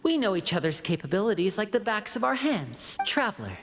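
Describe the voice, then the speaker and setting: A woman's voice: mid-range voice, very animated, brisk. A woman playing a composed, polite and calculating young male magician in a video game. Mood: earnest, instructing. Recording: game music behind the voice.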